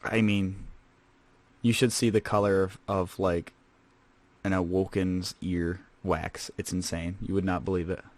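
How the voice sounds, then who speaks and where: A man's voice: low-pitched, little pitch movement, a little slow, a little mellow. A man playing a rambling, casual male scavenger who hosts a comedic in-character podcast. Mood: chatty. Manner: rambling and casual, lots of fillers. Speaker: host.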